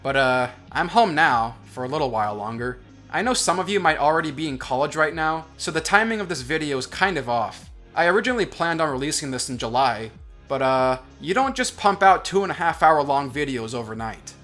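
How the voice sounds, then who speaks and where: A young man in college giving sarcastic, deadpan commentary as a voice-over. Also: a little high, brisk, soft background music. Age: young adult. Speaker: commentary vlogger. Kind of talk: sardonic scripted commentary over animation.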